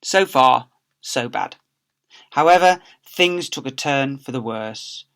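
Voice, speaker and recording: A man's voice: medium pitch, animated, takes it slowly, fairly bright tone. A man, a teacher, reading a storybook aloud to young children with playful, theatrical emphasis.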